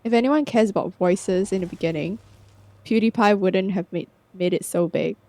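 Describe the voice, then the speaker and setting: A woman's voice: medium pitch, dark tone. A woman with a scratchy voice talking casually on a podcast, often stopping and restarting as she gathers her thoughts. The sound is close and dry, with no room echo. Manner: rambling, halting restarts, losing her train of thought. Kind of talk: casual chat.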